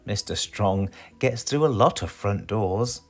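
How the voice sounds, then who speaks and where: A man's voice: on the low side, expressive, measured pace, soft background music. A man with a British accent reads a children's storybook aloud dramatically, putting questions to his young listeners. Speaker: storybook reader.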